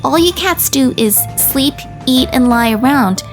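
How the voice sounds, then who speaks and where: A woman's voice: high-pitched, expressive, a little slow, soft background music. A woman voicing a wary, protective rescue dog in a visual novel, with a blunt, world-weary manner. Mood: indignant. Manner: blunt, defensive. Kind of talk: character acting in a visual novel.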